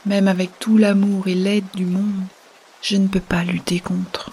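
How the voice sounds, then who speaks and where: Slow, hushed, fading woman, played by an actress. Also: mid-range voice, level tone, a little hiss or hum, lightly acted. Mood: resigned, weak. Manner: hushed, breathy, half-whispered, solemn. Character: Béa, fading, resigned woman in science fiction, loving. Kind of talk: science-fiction audio drama acting.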